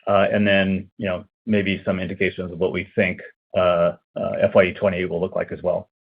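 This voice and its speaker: A man's voice: sits low, flat delivery, fairly quick, husky. A man, a finance executive, giving matter-of-fact guidance on an earnings call, hedging casually with "you know". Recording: phone-line audio.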